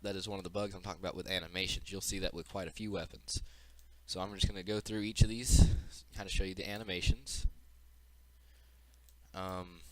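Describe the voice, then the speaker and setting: A man's voice: lowish voice, fairly bright tone, no room sound. A man giving an informal, unscripted walkthrough of a game demo as its developer, explaining as he goes, with audible breathing into the mic.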